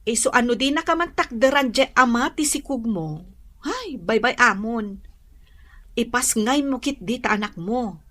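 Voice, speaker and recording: A young woman with an Ilocano accent, the encouraging best friend of a radio drama. Her voice sits very high and moves with great animation. She talks in a chatty, supportive way. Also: expressive, moderate pace, fairly bright tone. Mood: chatty, eager.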